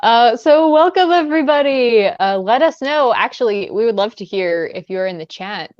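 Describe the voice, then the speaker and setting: A woman's voice: on the high side, animated, normal speed, a little mellow, no room sound. A woman hosting a casual livestream book chat in a chatty, informal way. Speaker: livestream host. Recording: dull, lo-fi livestream audio.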